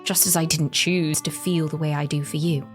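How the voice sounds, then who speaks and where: A bold young queen, acted by a woman, speaking candidly and with yearning in an intimate audio drama. Her voice is notably low, over faint string music. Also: steady tone, moderate pace, natural voice. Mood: tender, yearning.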